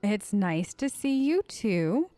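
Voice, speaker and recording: A woman's voice: medium pitch, sing-song, slow pace, warm tone. A woman improvising casual in-character dialogue for a video game let's play, chatty and rambling with hesitations. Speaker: let's play host role-playing her game character.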